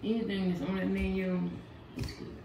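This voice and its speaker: A woman talking offhand and relaxed, laughing now and then, heard from a distance. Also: medium pitch, moderate pace, echoey room, noisy background.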